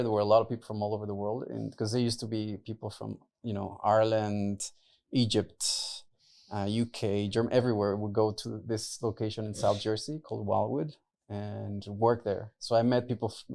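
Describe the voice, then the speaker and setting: A man's voice: fairly low pitch, vocal fry, mellow. A young man from Mexico and the US, whose first language is Spanish, chatting loosely as a podcast guest, full of "like" and "right?" fillers. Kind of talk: casual interview talk.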